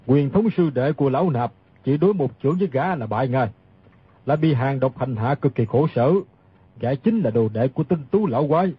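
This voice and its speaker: An actor playing a grave, conciliatory old Buddhist monk with a solemn, measured delivery in a radio drama. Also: male voice, medium pitch, lively intonation, slightly rough, no echo, phone-quality sound. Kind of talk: dramatised audiobook reading.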